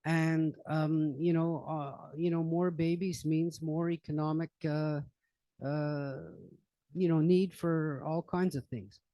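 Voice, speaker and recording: An older man speaks in a loose, rambling lecture manner, full of fillers and restarts. His voice sits a little high and sounds mellow. The sound is dry and close. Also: flat delivery, slow, dry recording. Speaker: lecturer on current events. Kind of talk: informal public library talk. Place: library meeting room.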